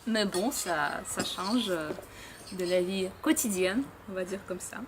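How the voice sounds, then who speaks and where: A young woman from Russia leading a volunteer camp, chatting to camera with many pauses and fillers. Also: on the low side. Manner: hesitant, many fillers, laughs often. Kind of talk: casual chat to camera. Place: outdoor boat workshop. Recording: noisy outdoor ambience.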